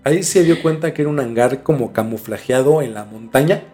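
A man's voice: medium pitch, brisk, a little mellow. A man with a Mexican accent telling a story in a casual, slangy podcast chat as host. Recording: music bed behind the talk. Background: music.

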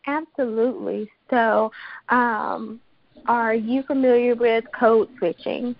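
An American woman from Mississippi giving explanatory interview answers as a therapist, in articulate, measured professional phrasing. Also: a little high, steady tone, gravelly, muffled recording. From Mississippi, United States. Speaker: psychotherapist, interview guest.

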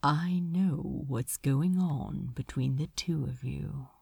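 Suave male demon for audiobook played by a woman: deep, hushed, unhurried, condescending, quietly malicious. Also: no echo, clean studio sound, exaggerated voice. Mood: smug menace. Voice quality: low, hushed voice. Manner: casual, taunting, smoothly condescending. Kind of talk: fan fiction audiobook character acting.

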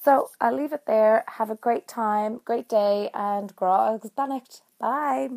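A woman's voice: on the high side, measured pace, creaky, darkish tone. A woman with an Irish accent, speaking casually as a listener leaving a personal voice message.

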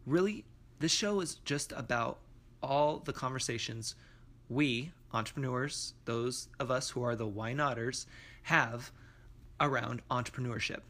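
A man's voice: mid-range voice, unhurried, pushed and bright. A man hosting an entrepreneurship podcast, speaking in an upbeat, conversational way and encouraging his guest. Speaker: podcast host. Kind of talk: podcast intro and interview.

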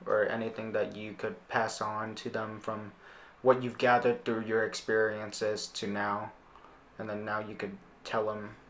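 A young man hosting a casual podcast interview, speaking haltingly and repeating words as he thinks. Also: on the low side. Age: young adult. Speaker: podcast host. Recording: roomy, echoing sound.

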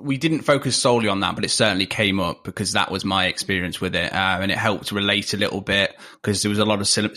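Twenties guy, Leicester accent, a touch low in pitch, rambling guest with filler-heavy, self-mocking chat. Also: sharp tone. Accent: Leicester English. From England. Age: 20s. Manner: rambling, full of ums and self-deprecating asides. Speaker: podcast guest. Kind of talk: casual interview chat. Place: home, remote call.

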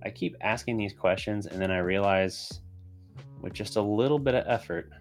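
Deep-voiced man with a scratchy voice. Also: normal speed, soft background music. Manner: thinking aloud, with restarts and fillers. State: voice getting scratchy and raspy. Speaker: podcast host. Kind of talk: solo sports podcast with listener questions.

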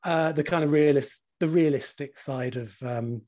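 A man in his forties with a slightly croaky voice, co-hosting a casual podcast chat, laughing often and swearing freely. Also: fairly high pitch, normal speed, dark tone. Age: 40s. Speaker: podcast co-host.

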